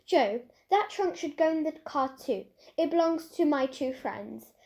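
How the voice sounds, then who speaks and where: A woman reads a cheerful schoolboy in a children's storybook. He is confident and mild, brisk in manner, with a high pitch. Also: lively intonation, a little mellow. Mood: friendly.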